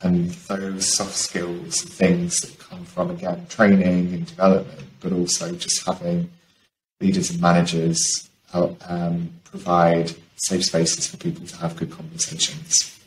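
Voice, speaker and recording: A man's voice: sits low, sing-song, a little slow, vocal fry. A man speaking as a panelist on a video call, explaining his points in a discussion. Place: indoor room, video call. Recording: roomy video call, faint background noise.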